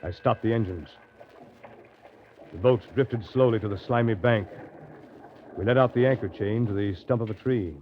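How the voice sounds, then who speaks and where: An actor playing a weary, sardonic steamboat captain in a 1930s radio drama, with a dry, literary, brooding delivery. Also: male voice, on the low side, normal speed, pauses often, close to an everyday voice. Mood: brooding narration. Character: weary, sardonic male steamboat captain telling his tale. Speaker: actor, narrator. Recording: muffled, noisy 1930s radio recording.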